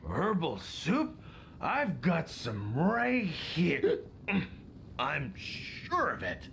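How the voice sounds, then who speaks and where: Slurring drunken hunter dad, swooping pitch. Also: male voice, huge pitch swings, extremely slow, gravelly, a bit bright, slight background noise, heavily acted. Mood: muddled. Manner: slurred, rambling, chuckling drunk. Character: jolly, drunken, foolish hunter father. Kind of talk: video game character acting. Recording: game music behind the voice. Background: game music.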